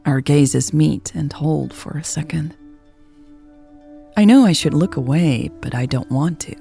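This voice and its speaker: A woman's voice: sits low, measured pace, gravelly, mellow, faint music behind. A woman reading a first-person romance story as a scripted narration.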